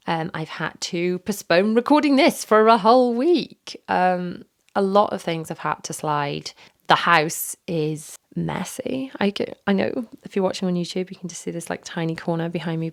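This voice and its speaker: Hoarse, gravelly woman podcaster. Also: fairly low pitch. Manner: chatty, candid, rambling with many fillers. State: hoarse, recovering from illness. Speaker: podcast host. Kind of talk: casual solo podcast chat. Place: home office. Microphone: studio microphone.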